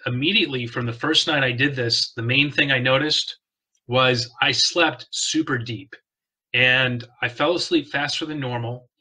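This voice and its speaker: A man's voice: medium pitch, pushed and bright, rough recording. A man talking casually to the camera about health and sleep habits, earnest and often restarting his words. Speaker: wellness vlogger.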